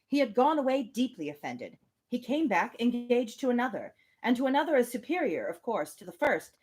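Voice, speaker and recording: A woman with a fairly high voice and very animated intonation hosts a live novel reading. She moves between expressive narration and informal, amused commentary. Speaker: host reading a novel on a livestream. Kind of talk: live book reading with casual asides.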